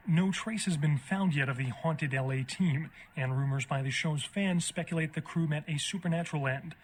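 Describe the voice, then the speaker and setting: An actor playing a sober local newscaster, reading scripted bulletins in a formal, measured way. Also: male voice, fairly high pitch, a little hiss or hum, close to an everyday voice, low-quality recording.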